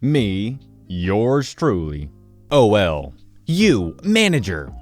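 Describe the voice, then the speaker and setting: Animated man telling a story. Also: on the low side, very slow. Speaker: story narrator. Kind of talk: reading an online story aloud. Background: soft background music.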